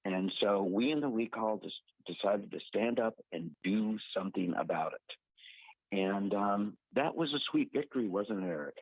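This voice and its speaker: Male radio host, upbeat, low-ish voice, dry tinny phone-line audio. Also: animated, moderate pace, no echo. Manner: upbeat, energized. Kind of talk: talk radio hosting. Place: large airplane hangar event.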